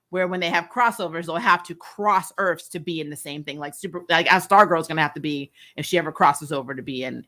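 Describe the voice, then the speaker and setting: Bright-voiced woman chatting through a review. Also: medium pitch. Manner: animated retelling with frequent chuckles and asides. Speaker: YouTube host reviewing TV episodes.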